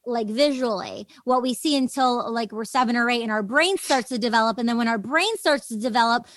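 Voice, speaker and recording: A woman's voice: a little high, fairly quick, dry recording. A woman talking conversationally as the interviewer on a casual podcast.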